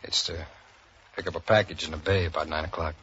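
A man's voice: low-pitched, steady tone, fast, a bit bright, breathy edge. An American actor playing a down-on-his-luck ex-convict in a 1940s radio drama, coaxing an old pal in a hesitant, evasive way. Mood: pleading, evasive. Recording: dull vintage radio recording.

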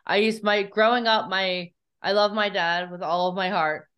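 A woman's voice: mid-range voice, fairly even intonation, moderate pace, clean tone, lo-fi sound. Woman host, chatty and effusive, casual interview talk. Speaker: podcast host.

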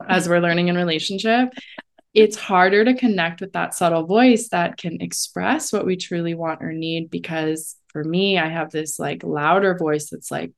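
Thoughtful woman with a lowish voice. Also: normal speed, vocal fry. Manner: reflective, often says "like". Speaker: astrologer guest. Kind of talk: casual conversational interview.

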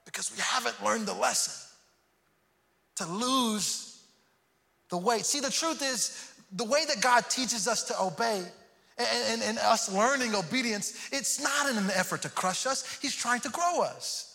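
A man's voice: very high voice, lively intonation, unhurried, vocal fry, pushed and bright. A man from Houston preaching a sermon, earnest and prone to repeating words as he starts a thought. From Houston, Texas. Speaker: pastor. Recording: long hall reverb.

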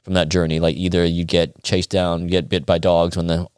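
American male podcast guest, deep voice, dry close sound, colloquial raconteur who voices both sides of a conversation. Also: fairly quick, smooth, no room sound. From United States. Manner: animated, colloquial, acting out quoted dialogue. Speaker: interview guest, acupuncturist. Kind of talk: casual conversational storytelling.

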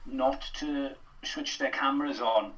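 A man's voice: medium pitch, fairly even intonation, unhurried, fairly bright tone, distant mic, dull audio. A middle-aged Welsh man, a drama lecturer, chatting as a podcast guest with many fillers and restarts. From Wales. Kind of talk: casual podcast interview.

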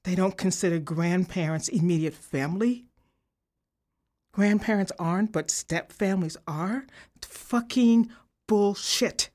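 Actress as a bitter, sarcastic inmate, low voice, slow delivery. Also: female voice, darkish tone. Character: bitter woman inmate denied family funerals. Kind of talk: audio play acting.

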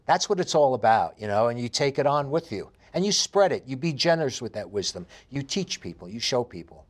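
A man in his fifties with a heavy New York accent, telling his life story in an interview with animated emphasis. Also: medium pitch, brisk. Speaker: interview guest. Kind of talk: candid conversational interview.

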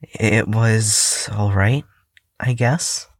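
A man's voice: lowish voice, steady tone, dry recording, studio quality. A man playing a timid, awkward, apologetic patient in an audio drama, speaking hesitantly. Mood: awkward, reluctant. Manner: hesitant, halting.

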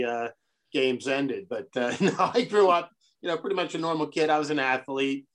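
Middle-aged man from Brazil, a podcast guest, earnest and measured, mid-pitched voice. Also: fairly bright tone. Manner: earnest, measured, speaks of his convictions. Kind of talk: conversational interview.

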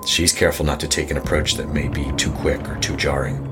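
Man, extremely low voice, deliberate dramatic horror narration, music underneath. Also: flat delivery. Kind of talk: scripted horror story narration.

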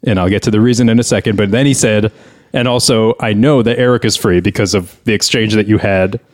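A man's voice: fairly low pitch, fairly even intonation, brisk. A man hosting a podcast in casual comedic banter, sounding grumbling and exasperated. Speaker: podcast host.

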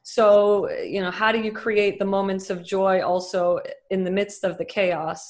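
Woman, thirties, from Lebanon, interview guest, bubbly, quick to laugh. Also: mid-range voice. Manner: bubbly, laughs often, animated. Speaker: guest, company founder. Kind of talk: casual interview over video call.

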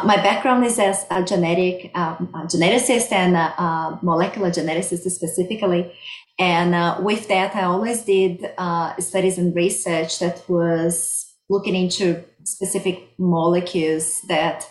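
A woman's voice: fairly low pitch, fairly even intonation, normal speed. Brazilian woman researcher in an echoey room. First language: Portuguese. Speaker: guest, medical researcher. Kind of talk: interview answers, explaining research plainly. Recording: roomy, echoey sound.

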